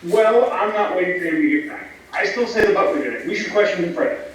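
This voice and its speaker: Distant reverberant recording, high-pitched man acting an insistent amateur sleuth, matter-of-fact stage delivery. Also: animated, fast talker. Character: matter-of-fact male guest insisting on blaming the butler. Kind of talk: comedy play staged reading. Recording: very echoey room, distant mic, faint noise.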